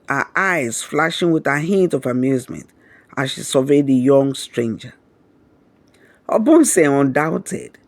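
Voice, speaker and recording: Higher-pitched male storyteller, dry studio sound. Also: no echo. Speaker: storyteller, narrator. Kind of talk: scripted storytelling narration of a romantic folktale.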